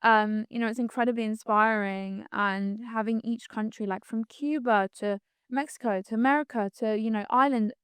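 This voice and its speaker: A woman's voice: a little high, fairly even intonation, normal speed, a bit bright, clean tone, no room sound. A woman, talking reflectively as a podcast guest, full of likes and you-knows. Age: 20s. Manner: chatty, reflective, many "like"s and "you know"s. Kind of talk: casual conversational interview.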